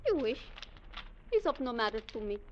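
Casting: defiant young Mexican spitfire, high voice, Spanish-accented halting English, trusts nobody, vintage radio drama. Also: female voice, big pitch swings. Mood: suspicious. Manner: defiant, halting non-native English phrasing. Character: fiery, distrustful young Mexican woman with gun. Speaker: radio actress. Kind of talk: 1940s-50s adventure radio drama acting. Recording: muffled, noisy vintage radio recording.

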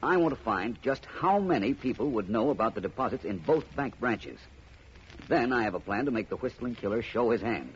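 An actor playing a shrewd, confident gentleman detective in a vintage radio mystery, crisp and commanding. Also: medium pitch, big pitch swings, a little fast, close to an everyday voice. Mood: assured, explaining. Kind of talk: 1950s radio drama acting. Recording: muffled vintage radio recording, faint noise.

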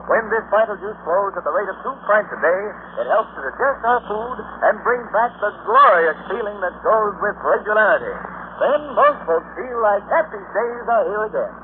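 A male announcer delivers a scripted 1940s radio advertisement. His voice sits high. The sound is narrow and tinny, with light noise from the old recording. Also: animated, moderate pace. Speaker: commercial announcer.

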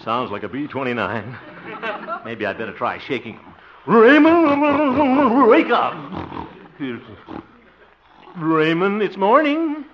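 A man's voice: high-pitched, wildly swooping pitch, takes it slowly, pauses often, a crowd in the background, exaggerated voice. An actor playing a blustery, self-satisfied, jovial man in 1950s radio comedy, theatrical and full of chuckles and exclamations. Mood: exasperated, playful. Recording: muffled, noisy vintage radio recording.

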